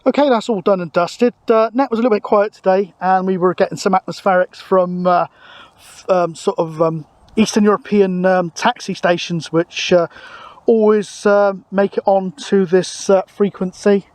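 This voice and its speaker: A man's voice: high-pitched, fairly even intonation, normal speed, a little mellow, no echo. A British man chatting casually outdoors in an easygoing, colloquial way. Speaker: vlogger and CB radio operator. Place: outdoors on a grassy hilltop.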